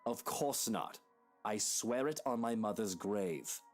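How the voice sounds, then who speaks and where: A woman's voice: rumbling low, animated, slow pace, darkish tone, soft background music, voice pushed down, exaggerated voice. Woman doing a swaggering, theatrical husband. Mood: irritated. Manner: brash, dramatic delivery. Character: cocky, defensive British husband, flustered young man.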